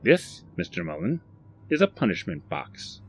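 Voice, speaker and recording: A man voicing a small, sinister formal gentleman in an audiobook, measured and menacing. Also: fairly low pitch, big pitch swings, vocal fry, soft background music, exaggerated voice. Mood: cold menace. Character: Mister Contragust, little sinister formal gentleman, cold and exacting. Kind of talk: audiobook character acting.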